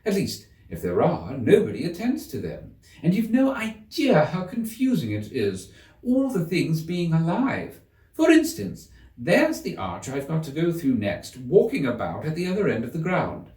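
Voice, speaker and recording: A man's voice: fairly high pitch, huge pitch swings, moderate pace, a little mellow, pitched above the performer's own voice. A man voicing an earnest, prim young girl in a storybook, with a plaintive, complaining manner. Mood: plaintive complaint. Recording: roomy echo, distant mic.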